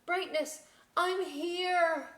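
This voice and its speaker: A woman's voice: very high-pitched, steady tone, extremely slow, fairly bright tone, voice pushed up, full character voice. A woman voicing a motherly, steadfast lighthouse in a children's storybook, dramatic and caring. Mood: urgent calling. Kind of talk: children's storybook read-aloud.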